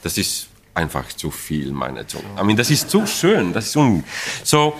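Man with Polish accent, middle-aged, halting non-native German, lively rising and falling pitch, frequent fillers and restarts. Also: breathy edge. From Poland. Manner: animated, laughs often. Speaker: opera stage director. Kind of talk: interview about an opera production.

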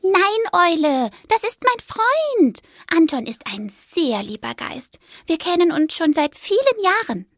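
A chirpy, bossy little mouse from a storybook, as a woman puts her on, with a high voice that leaps all over the place. She urges her friends along in a bright, bouncy tone, recorded close and dry. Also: sing-song, a little slow, few pauses, no echo, full character voice. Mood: cheerful. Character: small lively female mouse. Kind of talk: storybook character acting.

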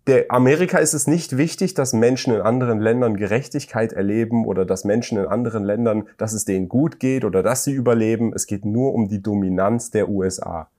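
A man co-hosting a podcast, speaking in an earnest, measured way and slowing down on key phrases. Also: medium pitch, a little mellow. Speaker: podcast co-host. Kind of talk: casual conversational podcast.

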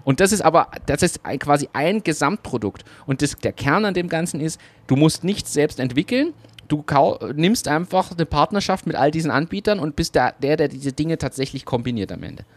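A man's voice: on the high side, animated, moderate pace, dry recording. A man co-hosting a casual podcast chat, brainstorming ideas with enthusiasm. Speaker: podcast co-host.

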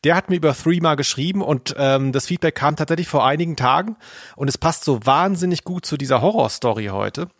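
Knarrige Männerstimme, trockener deutscher Podcaster. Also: male voice, a little high, lively intonation, a little fast, dry recording, clean studio sound. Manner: dryly humorous, tongue-in-cheek. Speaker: podcast co-host. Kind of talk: casual podcast chat, telling anecdotes.

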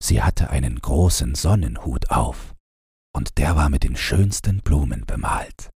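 A man reads a bedtime fairy tale in a very deep voice with a heavily husky grain. His delivery is slow and calm, like an audiobook made for falling asleep, and the tone is mellow. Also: no echo, clean studio sound. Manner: calm, unhurried storytelling. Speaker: audiobook narrator.

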